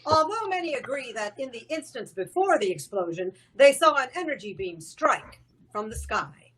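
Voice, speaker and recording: An actress playing a serious female television news reporter, husky-voiced, reporting live in an animated script. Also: fairly high pitch, normal speed, slight background noise, poor audio. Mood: grave.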